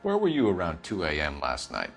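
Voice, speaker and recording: 1940s American detective for a crime game: by-the-book, clipped, formal, animated pitch, music underneath. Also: male voice, brisk, heavily acted. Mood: firm, accusing. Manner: firm, clipped, formal questioning. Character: earnest, by-the-book 1940s police detective, adult man. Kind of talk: video game cutscene acting, police interrogation.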